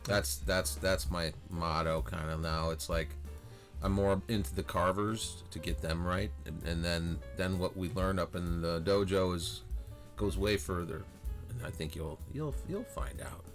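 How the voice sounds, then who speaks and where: A man's voice: low-pitched, measured pace, soft background music. A man hosting a carving class video, interviewing his student in a joking, dry, teasing way. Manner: teasing, dry humor, chuckles often. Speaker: carving instructor and channel host.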